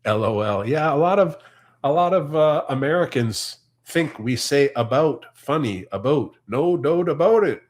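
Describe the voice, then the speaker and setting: A man's voice: fairly high pitch, lively intonation, measured pace. Easygoing Canadian man chatting on a livestream. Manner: chatty, easygoing, laughs often. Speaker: farm channel host reading live comments. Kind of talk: casual livestream chat.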